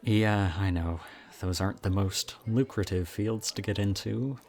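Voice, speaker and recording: A very low-pitched young college guy, played by a male voice actor in an intimate roleplay audio drama. He is chatty and charming, pokes fun at himself and teases softly, with something subtly odd about him. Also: measured pace, gravelly. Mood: curious, friendly. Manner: chatty, self-deprecating, softly teasing.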